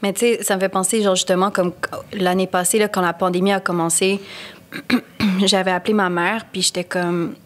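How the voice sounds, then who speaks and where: Young woman co-hosting a podcast; easygoing, chatty, quick to laugh. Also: mid-range voice, little pitch movement. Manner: chatty, laughs easily, mixes in English. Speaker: podcast co-host. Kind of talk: casual chat.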